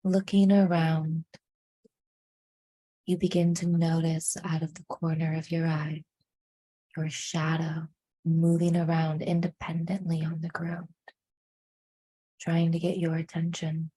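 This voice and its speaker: Slow, hushed woman guiding meditation. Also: deep voice, completely flat delivery, breathy edge, rough recording. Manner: hushed, soothing, deliberate phrasing with frequent pauses. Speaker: meditation guide. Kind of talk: guided meditation.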